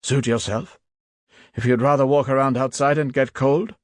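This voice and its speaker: A man reading an audiobook voices a weary fifty-year-old soldier who talks in a casual, mocking, unhurried way. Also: medium pitch, fairly even intonation, dry recording, voice pushed up, lightly acted.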